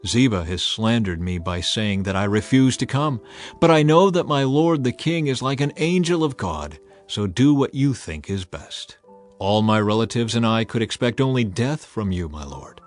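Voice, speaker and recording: A man's voice: medium pitch, animated, moderate pace, lightly acted. Male narrator as wronged loyal prince, earnest and deferential, scripture drama, faint music. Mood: earnest, submissive. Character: wronged, humbly loyal grandson of a fallen king.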